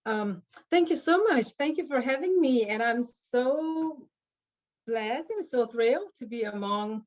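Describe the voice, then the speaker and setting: A woman from tells her own story as a panel guest, speaking English learned as an adult. Her delivery is earnest and halting, full of restarts. The video call audio sounds dull and lo-fi. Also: a little high, lively intonation. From Burma. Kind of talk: personal story at an online panel.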